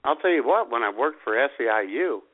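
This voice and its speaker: A man's voice: mid-range voice, lively intonation, a little fast, narrow, tinny audio. A man co-hosting a comedy radio show with casual banter and dry, brief reactions, on thin-sounding audio.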